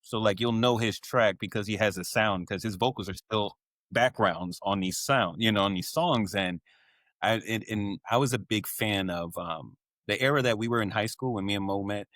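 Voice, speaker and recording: A man co-hosting a podcast, chatting casually and backing up his guest with relaxed affirmations. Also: on the low side, normal speed, dry recording, studio quality. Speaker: podcast co-host.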